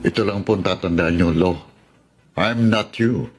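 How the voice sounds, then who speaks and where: An actor playing a principled, defiant grandson and politician, firm and accusing, in a radio drama. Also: male voice, low-pitched, normal speed, noisy background, close to an everyday voice. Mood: defiant, indignant. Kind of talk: Tagalog radio drama acting.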